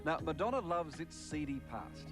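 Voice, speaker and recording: A man's voice: on the high side, very animated, moderate pace, over music. A man with an Australian accent reading a scripted narration for a television profile. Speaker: TV interviewer and narrator.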